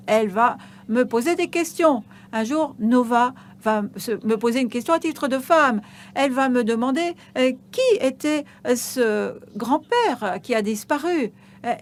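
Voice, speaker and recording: A woman giving a live interpretation of an on-stage conversation, halting, with frequent hesitations and restarts. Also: on the high side.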